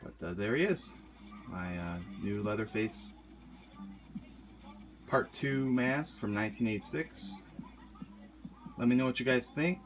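A man's voice: mid-range voice, unhurried, long pauses, slight background noise. A man casually showing off a collectible horror mask in a vlog, talking loosely with lots of ums and uhs. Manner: relaxed, many filler ums and uhs. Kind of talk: casual show-and-tell vlog. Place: indoor room with horror posters. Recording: music playing clearly behind.

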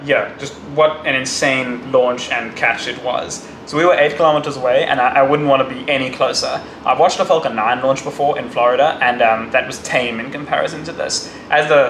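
A man's voice: mid-range voice, reverberant. A man vlogging, talking casually with fillers as he recaps a trip, recorded outdoors on a handheld camera. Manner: excited shouting and cheering during launch. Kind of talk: casual travel vlog. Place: outdoors on a crowded beach. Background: crowd cheering and outdoor noise.

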